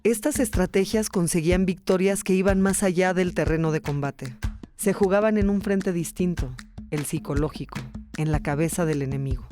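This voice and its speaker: A woman's voice: lowish voice, normal speed, warm tone. A woman hosting and narrating a documentary podcast in a scripted storytelling style. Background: music under the voice.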